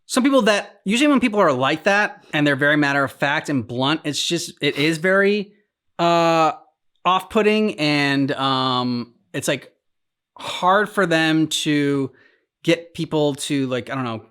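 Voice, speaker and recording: Man, fairly high-pitched, casual discussion, halting delivery, reaction co-host, voices hypothetical lines. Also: expressive, a bit bright, clear, resonant tone.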